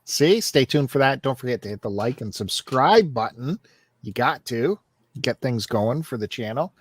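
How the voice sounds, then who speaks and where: A man hosting a casual live chat, his pitch swinging up and down as he hypes the segments ahead. He sounds close and dry, with no room around him. His delivery is upbeat and teasing. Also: lively intonation, moderate pace, breathy edge, no room sound. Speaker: host of a collectors' live chat.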